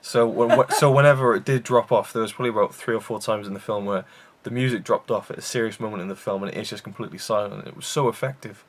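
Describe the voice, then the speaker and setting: A man's voice: lowish voice, fast, hardly any pauses. A man hosting a casual film review show, rambling with enthusiasm and many fillers and restarts.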